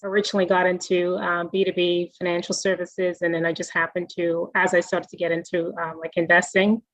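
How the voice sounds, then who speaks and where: A young woman from the Bahamas, a guest answering interview questions in a friendly, measured way with frequent fillers over a video call. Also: on the low side, little pitch movement, no room sound. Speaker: guest, self-directed IRA specialist. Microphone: video call mic. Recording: dull, dry video call audio.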